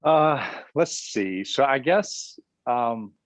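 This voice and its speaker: A young man from South Carolina with a Southern accent, a guest answering interview questions over a video call in a relaxed, easygoing way with small hesitations. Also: medium pitch, extremely slow, no echo. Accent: Southern American. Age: young adult. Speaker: guest, business coach.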